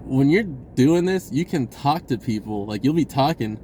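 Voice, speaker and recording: A man's voice: mid-range voice, lively intonation, moderate pace, warm tone, a little hiss or hum, rough recording. A man telling a personal story in a casual, rambling, slangy way and acting out bits of dialogue. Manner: informal slangy speech, acts out dialogue. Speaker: vlogger recounting personal experiences.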